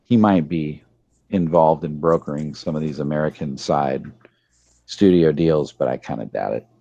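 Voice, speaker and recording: A man talking casually as a panelist on a podcast, somewhat halting as he thinks aloud. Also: very deep voice, creaky, dark tone, no echo. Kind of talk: casual discussion.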